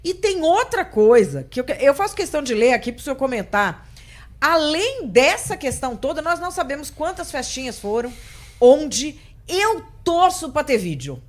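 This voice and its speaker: Woman host, kinda high voice, blunt and fired up, chatty. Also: very animated, moderate pace. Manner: indignant, blunt and conversational. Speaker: interview host. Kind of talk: political talk show interview.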